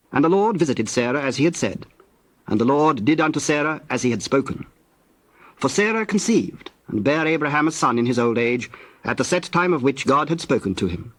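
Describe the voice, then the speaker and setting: A man narrating scripture from a script in a solemn, measured manner. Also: mid-range voice, fairly bright tone, dry recording.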